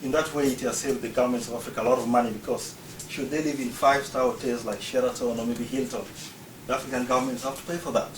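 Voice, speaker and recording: A man's voice: mid-range voice, fairly even intonation, fast, background noise. Ugandan man explaining, roomy and distant. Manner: explanatory, lists points one by one. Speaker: speaker. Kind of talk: prepared talk. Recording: roomy, distant mic, faint ambience.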